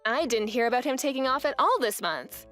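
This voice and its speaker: A woman's voice: high voice, animated, a little fast, a bit bright, soft background music. A young woman playing an indignant, resolute wife who narrates her story expressively in a manga dub. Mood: matter-of-fact.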